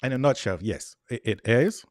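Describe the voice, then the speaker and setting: A man's voice: mid-range voice, expressive, normal speed, no room sound, studio quality. A man in his forties from Haiti with a thick Haitian Creole accent, explaining earnestly as a guest in a casual chat and repeating words as he goes.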